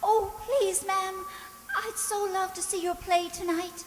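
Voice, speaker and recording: A woman's voice: extremely high pitch, fairly even intonation, a little slow. A woman playing a timid, downtrodden servant girl of about eighteen in a radio drama, meek and pleading. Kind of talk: theatrical radio drama acting. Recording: echoey hall, voice with long reverb.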